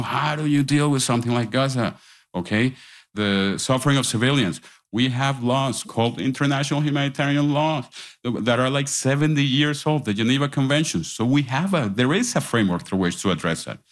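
A man from Colombia, a guest on a panel discussion, talking earnestly and with emphasis, often restarting his words. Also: medium pitch.

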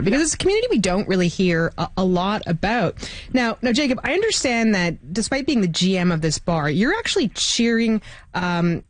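Woman news anchor, animated pitch, friendly interviewer tone. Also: dry recording. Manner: warm, lively interviewer, laughs easily. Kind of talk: live news broadcast.

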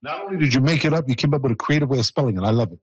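A man's voice: medium pitch, animated, racing, breathy edge, dry recording, highs cut off. Joking male interview host. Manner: joking, teasing, laughs often. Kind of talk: playful broadcast interview.

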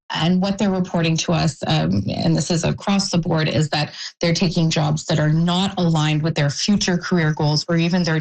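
A woman who organizes youth employment work, answering radio interview questions in a halting, measured way and often restarting her phrases. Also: lowish voice, rough recording.

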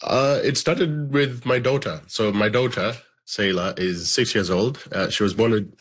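A man from Uganda, a filmmaker, answering interview questions at home in a thoughtful, halting way with many fillers. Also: lowish voice, a bit bright, breathy edge. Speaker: filmmaker and guest. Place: at home, child in background.

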